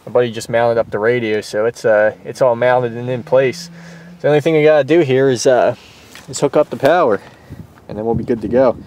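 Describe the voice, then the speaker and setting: A man with a mid-pitched voice talks through a job in a casual, rambling how-to style. He sounds easygoing and explains things loosely. There is faint outdoor and vehicle noise behind him. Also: fairly quick. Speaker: host of a car radio install video. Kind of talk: casual hands-on how-to vlog. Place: in and around a parked car. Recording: steady background noise, outdoor and vehicle ambience.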